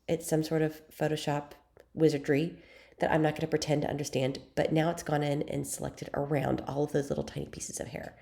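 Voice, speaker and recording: A young woman hosts an editing tutorial, her voice raspy and stuffed up from a cold. She speaks in a low, dark tone with a gravelly edge. Her delivery is chatty and self-deprecating, with plenty of ums between steps. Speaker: photography tutorial host. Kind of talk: screen-recorded software tutorial.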